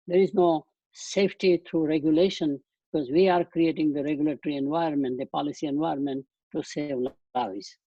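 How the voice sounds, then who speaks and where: An Indian-accented man in his eighties lectures to a webinar audience in long, meandering sentences. His voice sits fairly high for a man and sounds mellow and a little husky. The video-call audio is dull and dry. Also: no room sound. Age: 80s. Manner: loose rambling phrasing with restarts. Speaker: guest speaker.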